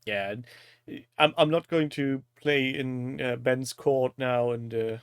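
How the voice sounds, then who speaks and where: A man's voice: medium pitch, takes it slowly, clean tone, no echo, clean studio sound. A man from Germany with a German accent, a native German speaker, hosting a casual live-stream chat in a jokey, teasing manner. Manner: jokey, crude humour, teasing.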